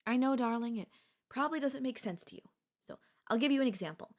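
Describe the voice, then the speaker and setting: A woman's voice: on the high side, animated, fast talker, pitched above the performer's own voice. A woman playing an obsessive, doting yandere stalker in an ASMR audio roleplay, speaking in a coaxing, theatrical way. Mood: patient, explaining. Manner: theatrical, coaxing, addresses listener as darling. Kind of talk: ASMR audio roleplay, girlfriend-style monologue.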